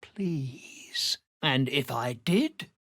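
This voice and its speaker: Gruff, desperate miner, swinging pitch. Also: female voice, lively intonation, extremely slow, dry recording, clean studio sound, full character voice. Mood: pleading. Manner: urgent, gruff, emotionally intense. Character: desperate, tough female miner held captive underground. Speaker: video game character voice. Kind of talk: scripted game character lines.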